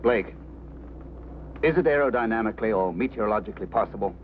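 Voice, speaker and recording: A man's voice: fairly low pitch, quick pace, close to an everyday voice. An American actor playing a skeptical, down-to-earth older man with a dry manner in a vintage film drama. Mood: disbelieving. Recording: tinny, noisy old film soundtrack.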